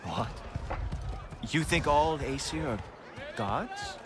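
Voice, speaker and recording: An actor playing a smug, condescending Norse god guard in a video game, with a sarcastic, mocking, theatrical drawl. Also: male voice, fairly high pitch, huge pitch swings, takes it slowly, heavily acted. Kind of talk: video game dramatic acting. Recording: loud music behind.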